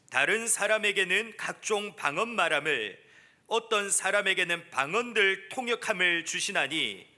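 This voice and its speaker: A man reading Bible verses aloud formally at a church service, in a measured, declamatory way. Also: high voice, pushed and bright. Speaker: scripture reader at church.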